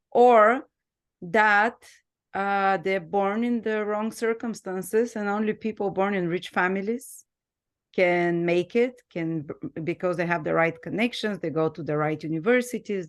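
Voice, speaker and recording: A woman from Bulgaria with a Bulgarian accent, hosting a casual conversation and laughing often, with hesitant restarts. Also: lowish voice. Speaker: host.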